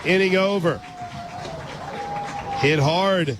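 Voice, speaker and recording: An American man doing live radio play-by-play of a softball game, chatting conversationally between pitches. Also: drawn-out words, noisy background. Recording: loud crowd and music behind outdoor broadcast. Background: ballpark crowd and loud music.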